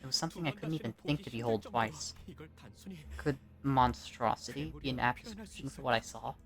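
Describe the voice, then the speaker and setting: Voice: medium pitch, expressive, fairly quick. An amateur narrator reading a scripted first-person story. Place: indoors at home. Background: music.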